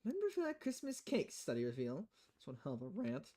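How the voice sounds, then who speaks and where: A woman game streamer reads visual novel dialogue aloud on a livestream. Her pitch is very animated, moving up and down as she shifts between voices. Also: quick pace. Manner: acts out dialogue with changing voices.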